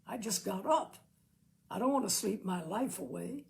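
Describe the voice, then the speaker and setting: Female narrator as elderly man, surly, proud, grumbling, creaky voice, storybook character. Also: mid-range voice, animated, normal speed, darkish tone, a little hiss or hum. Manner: grumbling, boastful. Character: surly, proud old man, idle in son's home.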